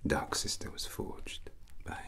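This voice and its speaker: A man playing a drunken, proud and menacing rogue prince in an ASMR roleplay, whispering slowly and deliberately in ornate language with long pauses. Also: fairly bright tone. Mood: brooding, menacing. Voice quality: low breathy whisper. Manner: slow, deliberate, pausing, ornate wording.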